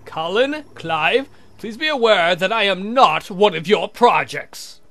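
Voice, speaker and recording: A man's voice: sits high, lively intonation, unhurried, fairly bright tone, heavily acted. A man voicing a proud, touchy vampire count in a visual novel, with theatrical, wry delivery. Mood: insistent.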